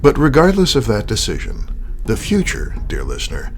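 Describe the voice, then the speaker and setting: Animated male radio theater narrator. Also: mid-range voice, gravelly. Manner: rhetorical, deliberate. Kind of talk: scripted dramatic narration.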